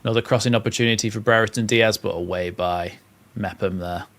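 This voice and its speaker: A man's voice: lowish voice, steady tone, brisk, a bit bright. A young man with a northern English accent, calling a live football match on a watchalong stream and chatting with viewers. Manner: play-by-play calls mixed with reading out viewer comments. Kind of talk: live match commentary and chat.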